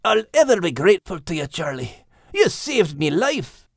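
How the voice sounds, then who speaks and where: A man voicing an earnest, grateful Scottish dog in a children's animal story, folksy and animated. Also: high-pitched, normal speed, creaky, no echo, clean studio sound, pitched above the performer's own voice, heavily acted. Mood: earnest, urgent.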